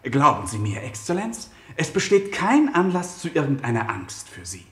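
A complacent diplomat of state in a radio satire. He is formal, assured and soothing, with lively pitch in an echoing room. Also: normal speed. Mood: complacent, reassuring. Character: smooth-talking, complacent male foreign minister, soothing his ruler. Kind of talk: satirical German radio play acting.

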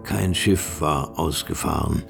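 A man's voice: a bass voice, slow, vocal fry, over loud music. A man narrating a radio drama from a script as the storyteller.

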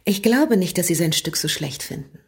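An actress playing a cool, disillusioned wife in a German radio play, speaking in terse, measured, blunt phrases. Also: female voice, fairly low pitch, lively intonation, slightly rough, full character voice. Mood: cool, probing.

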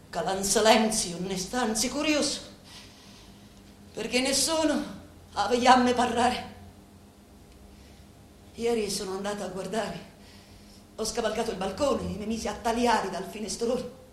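An actress playing a grave Sicilian woman confiding in a mafia boss, in a slow, deliberate theatrical monologue with a Sicilian accent. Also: a little high, lightly acted. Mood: pained, bitter, then grim, anguished. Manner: slow, deliberate, brooding storytelling. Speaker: stage actress in a radio drama. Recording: roomy echo, noisy background.

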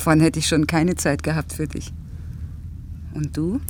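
An actress playing a lonely young single mother in a German radio play, gently reproachful and pleading with her lover. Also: low voice, little pitch movement, measured pace, slight background noise. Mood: hopeful, reproachful.

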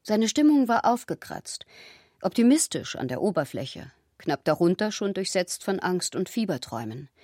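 A woman narrates a radio play from a script. Her voice sits a little low, and the sound is dry, like a studio. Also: big pitch swings, moderate pace, darkish tone, no echo.

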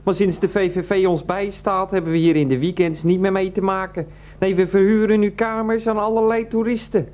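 Exasperated, evasive husband, played by a man, fairly high voice, protesting, radio play. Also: fairly even intonation, a little fast, darkish tone, natural voice. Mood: chatty, cheerful. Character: Freek, exasperated evasive husband, petty and defensive. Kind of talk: Dutch radio play acting.

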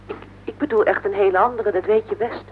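A woman's voice: medium pitch, steady tone, quick pace, phone-quality sound, natural voice. An actress playing a worried, insistent wife in her forties in a radio play, speaking earnestly and plaintively. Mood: reproachful. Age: 40s. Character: worried, insistent wife of forty-one, earnest and heavy-hearted. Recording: noisy, band-limited old radio play recording.

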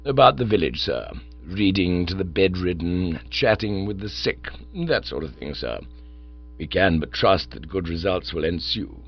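A man voicing a grave, deferential middle-aged valet who speaks in a formal, measured way. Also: sits low, expressive. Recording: dull lo-fi recording with mains hum.

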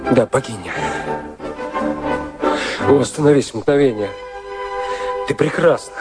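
A man acting in a radio drama, playing an ardent, awestruck young romantic who speaks in a halting, rapturous way. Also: medium pitch, natural voice. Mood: rapturous, tender. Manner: rapturous, halting, hushed wonder. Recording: radio play with music behind.

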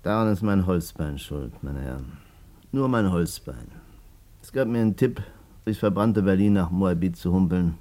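Low-voiced evasive small-timer. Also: male voice, expressive, normal speed, warm tone. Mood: wry. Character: wooden-legged small-time man, evasive under questioning. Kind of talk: German radio drama acting.

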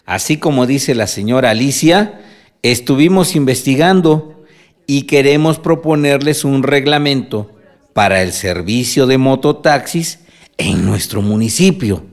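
Regidor pausado. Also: male voice, medium pitch, expressive. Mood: formal, methodical. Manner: formal, deliberate, enumerating. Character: male security councillor, formal and methodical. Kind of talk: radio drama acting.

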